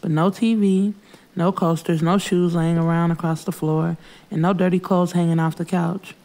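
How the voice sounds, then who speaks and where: A woman's voice: fairly low pitch, level tone, normal speed, background noise. A woman narrating first-person urban fiction in a casual, frank, streetwise way. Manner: frank, streetwise delivery with swearing. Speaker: audiobook narrator.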